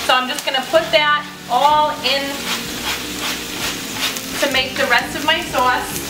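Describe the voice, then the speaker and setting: A woman's voice: high-pitched, fairly even intonation, a little slow, recorded from a distance. A woman in her thirties hosting a home cooking show, chatting through each step as she cooks in a small kitchen. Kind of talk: casual cooking demonstration. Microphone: camera mic at a distance. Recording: echoey kitchen, voice from off-mic, stove noise. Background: sizzling pan and stirring.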